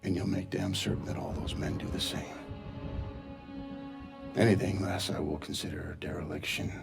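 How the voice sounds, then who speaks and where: A hard, bitter wartime sergeant with a low, gravelly voice. He gives commands through gritted teeth, domineering and menacing, in cinematic game style, with music clearly behind him. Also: level tone, moderate pace. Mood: cold, threatening. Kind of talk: cinematic war game acting.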